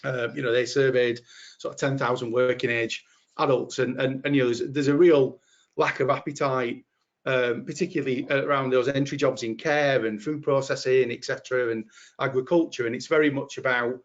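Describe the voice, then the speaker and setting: A man's voice: mid-range voice, steady tone, normal speed, lo-fi sound. A man with a Yorkshire accent answering earnestly on a webinar panel, often repeating words as he thinks. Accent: Yorkshire English.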